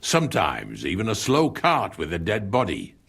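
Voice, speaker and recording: An actor playing a laconic, helpful medieval villager with a husky voice, in a video game. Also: male voice, deep voice, wildly swooping pitch, moderate pace, a bit bright, exaggerated voice. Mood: dry, helpful.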